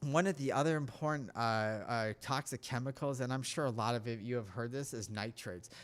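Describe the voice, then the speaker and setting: A man's voice: medium pitch, brisk. A man giving a casual talk-show monologue on health, earnest and preachy, rambling through his points. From United States.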